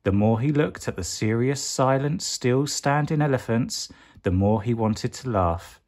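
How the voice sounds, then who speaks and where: Male storyteller for children's picture book read-aloud. Mid-range pitch, expressive, deliberate, drawn-out emphasis.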